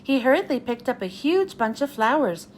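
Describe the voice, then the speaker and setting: Lively, high-voiced woman reading a storybook. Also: normal speed, a little hiss or hum. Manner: expressive storytelling with voiced dialogue. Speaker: children's read-aloud host. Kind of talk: storybook reading aloud for children.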